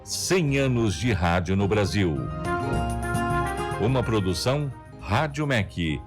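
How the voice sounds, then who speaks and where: A man reading a program announcement in a slow, emphatic way. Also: fairly low pitch, expressive, over music. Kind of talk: station announcement.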